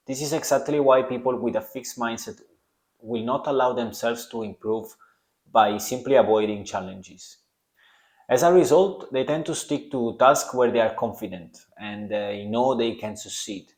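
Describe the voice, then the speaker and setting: A man's voice: medium pitch, roomy sound. A young man with a strong Italian accent, hosting a solo podcast in earnest, reflective talk aimed at the listener. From Italy. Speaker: podcast host.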